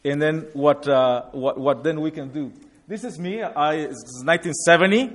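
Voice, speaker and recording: A man in his forties from Guatemala tells his own story in a church setting, in a slightly higher-than-usual voice. He speaks haltingly, with frequent fillers and restarts, like someone talking from memory rather than from a script. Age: 40s. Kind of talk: personal testimony talk.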